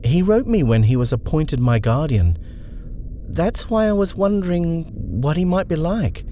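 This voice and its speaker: A man's voice: on the high side, lively intonation, unhurried, mellow, a little hiss or hum, pitched above the performer's own voice. A man voicing a polite, hesitant young woman who is nervous. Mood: anxious.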